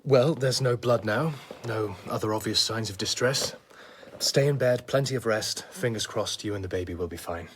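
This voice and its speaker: An actor playing an earnest, principled young doctor, polite but firm, in a radio drama. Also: male voice, lowish voice, moderate pace, a little hiss or hum, natural voice. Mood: reassuring.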